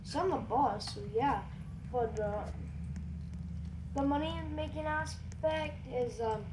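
A woman giving casual, halting gameplay commentary that often trails off, recorded on a home microphone. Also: high voice. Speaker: gamer hosting a Roblox roleplay video. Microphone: home microphone, voice-over on gameplay. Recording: roomy, noisy home mic.

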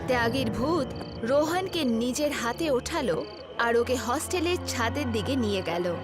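High, animated female horror narrator. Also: unhurried. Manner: dramatic storytelling. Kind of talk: scripted horror story narration. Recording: loud music bed over the voice. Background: loud music.

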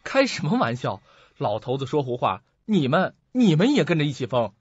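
An actor playing a greedy, nervous, irritable heir in a radio drama, agitated and exclamatory. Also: male voice, a little high, sing-song, normal speed, breathy edge, no room sound. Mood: agitated, disbelieving. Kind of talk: Mandarin radio drama acting. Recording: dull lo-fi recording.

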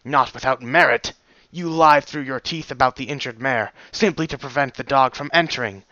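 Gruff older unicorn stallion, a village leader, stern and loud as played by a man reading aloud; bright, pushed tone; dull lo-fi sound. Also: on the high side, moderate pace, no room sound. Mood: scolding. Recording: dull lo-fi dry recording.

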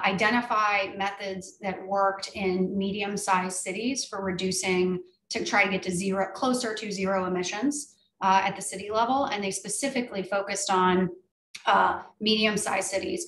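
A woman's voice: medium pitch, fairly bright tone. Hesitant woman on a roomy, distant call. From United States. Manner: hesitant, many fillers, gestures while talking. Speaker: panelist. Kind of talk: online panel discussion. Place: home room with shelves. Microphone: video call. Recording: echoey room, off-mic, faint noise.

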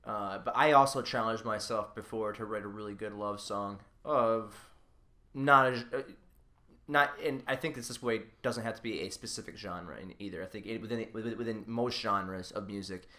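A man's voice: on the low side, steady tone, moderate pace, sharp tone. A man hosting a casual podcast, rambling and repeating words as he works his way toward questions. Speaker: podcast host. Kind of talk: casual, unscripted interview chat.

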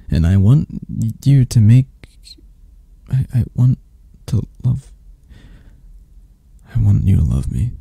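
An obsessed young male yandere, acted out by a man in an ASMR roleplay. His low, very dark voice is gravelly and drags out slowly as he coos with sweet menace. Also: dry recording, heavily acted. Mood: excited, obsessive. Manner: slow, intimate, breathy sighs and pauses.

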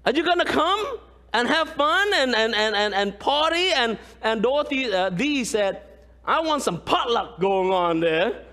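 A man in his thirties, a pastor from Cambodia with a Khmer accent, preaching a lively sermon and often asking the congregation "right?". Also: very high voice, measured pace. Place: large church sanctuary, indoors. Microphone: far camera, room pickup. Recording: long church hall reverb.

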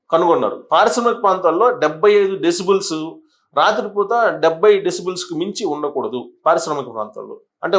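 A man giving explanatory news commentary, partly reading aloud, addressing viewers didactically and sometimes stumbling over and repeating words. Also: sits high.

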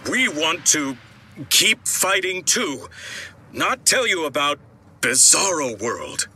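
A man's voice: a little high, big pitch swings, slow pace, sharp tone, soft background music, exaggerated voice. A man playing an earnest, heroic peacemaker in a video game. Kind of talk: video game character acting.

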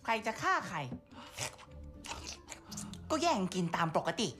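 A woman voicing a small, mischievous, argumentative monkey in an animated film. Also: mid-range voice, slow pace, soft background music. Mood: indignant. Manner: cheeky, argumentative. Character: small cheeky mischievous monkey, thieving troublemaker.

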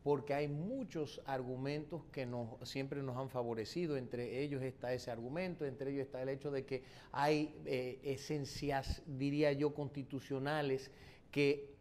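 A man with a Dominican accent answers political interview questions as a candidate, in formal, legalistic, measured wording. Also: mid-range voice, steady tone, a little mellow, slight background noise. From born in the United States to an exiled Dominican family. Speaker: presidential candidate being interviewed.

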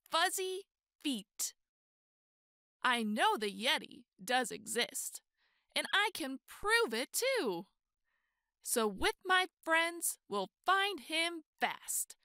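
A high, bright-voiced woman storyteller reading to children. She takes her time, stretching key words and exclaiming with big expression. The sound is close and dry. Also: no room sound. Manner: expressive, exclaiming, drawn-out emphasis. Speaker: storyteller reading a children's picture book aloud. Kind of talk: animated children's read-aloud.

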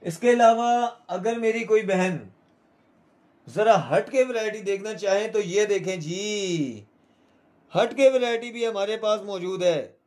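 A man's voice: high-pitched, animated, slow pace, a little mellow. A man with a Pakistani accent hosting a live shopping show, selling in a repetitive, urging salesman's manner.

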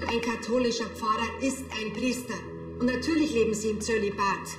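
A woman's voice: on the high side, steady tone, fairly quick, reverberant, music in the background, lightly acted. An actress playing a prim, matter-of-fact woman in a television crime comedy. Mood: formal, guarded.

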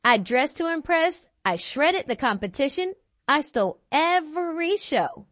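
A woman's voice: sits high, sing-song, a bit bright, no room sound, full character voice. A woman voicing a boastful, melodramatic cheese wheel in a children's picture book read-aloud, stretching key words theatrically. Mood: proud, eager. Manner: theatrical, bragging, drawn-out emphatic words.

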